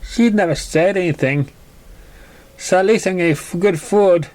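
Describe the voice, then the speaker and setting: A young pony girl acted out by a man speaks in a high voice, halting and confessional. She is an orphan, wounded but plucky, telling her own story in a fan-made storybook reading. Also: darkish tone, natural voice. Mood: recounting, subdued. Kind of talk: amateur fan fiction reading.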